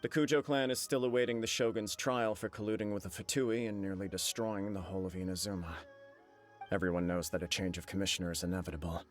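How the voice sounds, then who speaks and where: An earnest young noble heir played by a man with a lowish, steady voice. He talks gravely and blames himself for the clan's troubles. Faint music sits behind him. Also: quick pace, natural voice. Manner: earnest, heavy with duty. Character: young male noble clan heir, earnest and self-reproaching. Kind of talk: game cutscene dialogue.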